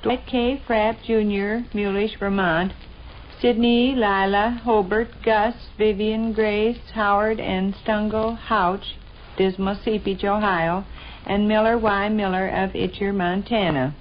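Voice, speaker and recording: A woman's voice: mid-range voice, fairly even intonation, slow pace, close to an everyday voice. An American woman playing a teasing, practical housewife and mother in a folksy, chatty 1940s radio comedy style. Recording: dull, noisy 1940s radio recording.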